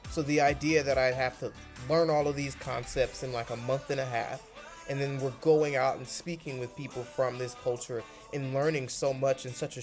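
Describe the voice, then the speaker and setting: A young man from Cleveland, a university freshman, earnestly reflecting on a class project with bursts of quick speech. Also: mid-range voice, darkish tone. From Cleveland, Ohio, United States. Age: young adult. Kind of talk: reflective video monologue.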